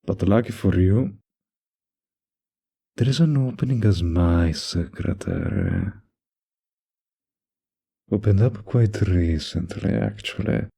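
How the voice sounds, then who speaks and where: Male actor as mafia crime boss, husky, crackly low end, unhurried, intimate, flirtatious, quietly dangerous but kind, roleplay audio. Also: big pitch swings, exaggerated voice. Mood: calm, wry.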